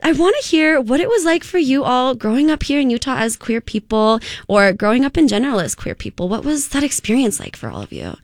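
A woman's voice: sits high, big pitch swings, fairly quick, few pauses, dry recording, studio quality. A woman hosting a panel interview in a conversational, effusive and encouraging manner. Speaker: panel host.

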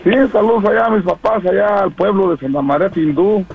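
A man calls in to a radio program, his voice husky and gravelly with a slightly raised pitch. He rambles through long greetings, full of fillers. The line sounds dull and lo-fi. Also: a little fast. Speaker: caller.

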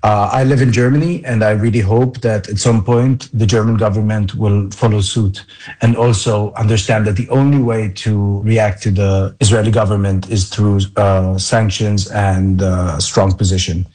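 A man giving a recorded statement, earnest and deliberate. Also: on the low side, steady tone, dark tone. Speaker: interviewee.

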